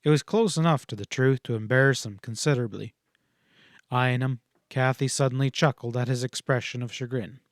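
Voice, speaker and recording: A man's voice: mid-range voice, a little fast, dry recording, studio quality. A man reading a western novel aloud as scripted audiobook narration.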